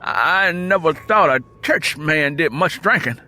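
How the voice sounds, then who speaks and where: Man acting hot-tempered growling Southern father, high-pitched. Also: lively intonation, a little slow, sharp tone, soft background music, exaggerated voice. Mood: gruff. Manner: folksy rural dialect, blustering. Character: hot-tempered hard-drinking rural father, gruff and suspicious.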